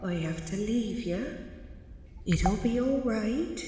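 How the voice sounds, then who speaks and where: Slow, ominous nun in deep echo. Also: female voice, animated. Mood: hushed, soothing. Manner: measured, deliberate, cryptic. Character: stern, ominous convent nun, calm and devout. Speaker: actor playing a nun. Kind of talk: horror audio drama acting. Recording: very echoey stone-like hall, loud background noise.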